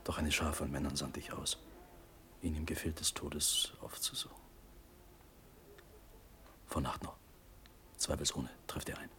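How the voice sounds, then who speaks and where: An actor playing an ardent, devoted young warrior prince, speaking fervent verse in a classical radio drama. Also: male voice, extremely low pitch, level tone, a little slow, long pauses, pushed and bright, whispered, full character voice. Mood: tender grief. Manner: fervent, theatrical.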